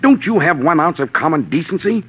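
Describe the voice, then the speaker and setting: A man playing a driven, commanding gentleman with formal, forceful diction in 1940s radio drama. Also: on the high side, very animated, fairly quick, slightly rough, dry recording, exaggerated voice. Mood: indignant, determined.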